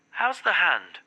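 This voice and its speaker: A man's voice: high-pitched, pushed and bright, slightly rough, heavily acted. A man acting a harried, evasive official on a phone call in an audio drama, firm and businesslike.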